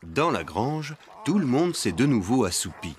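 A man narrating a children's cartoon story in a measured, scripted storytelling way. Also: mid-range voice, sing-song, faint music behind.

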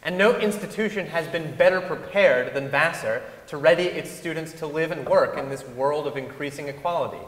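A man's voice: on the high side, normal speed, hardly any pauses, a bit bright. A young man reading speeches aloud on the stage of a large concert hall. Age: young adult. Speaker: reader in a dramatic reading. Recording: echoey concert hall with long reverb.

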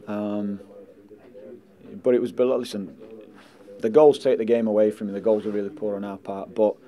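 A middle-aged man and football manager answering post-match questions indoors, measured and blunt, often saying "listen" and "um" into handheld mics. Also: fairly low pitch, flat delivery, dark tone. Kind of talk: post-match press interview. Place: indoors in front of a sponsor board. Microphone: handheld mics held close.

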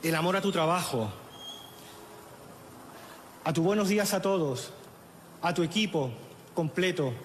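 A middle-aged man with a Chilean accent reads a funeral eulogy at a lectern microphone outdoors, in a solemn, measured way with halting restarts, audibly emotional. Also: fairly high pitch, expressive, slight background noise. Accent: Chilean Spanish. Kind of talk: funeral eulogy, read aloud. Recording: echoey, reverberant outdoor sound with faint ambience.

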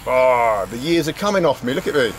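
A man's voice: mid-range voice, lively intonation, a little fast. A man in his forties, a travel vlogger, chatting jokily to camera and to locals in simple English while filming himself on a handheld camera. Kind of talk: casual travel vlog narration. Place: open-sided roadside barber hut, then a sunny street outdoors. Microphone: handheld action camera at arm's length. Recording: outdoor street noise behind.

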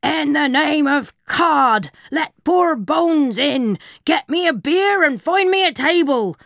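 A woman voicing a boastful, slyly innocent male pirate captain with a gravelly, theatrical voice. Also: high-pitched, expressive, takes it slowly, no echo, studio quality, voice pushed up, exaggerated voice. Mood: demanding. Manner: blustering, theatrical.

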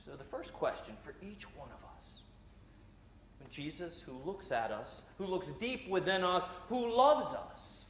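A man's voice: high-pitched, animated, measured pace, fairly bright tone, a little hiss or hum. A man in his thirties, a priest giving a sermon in a large church, speaking conversationally with frequent "uh" fillers. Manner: gestures broadly while walking about.

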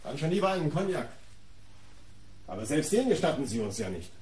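A man's voice: fairly high pitch, sing-song, a little fast, a little mellow, a little hiss or hum. An actor playing a fussy, aggrieved male art dealer, peevish and self-important, in a radio crime play. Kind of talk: German radio play acting.

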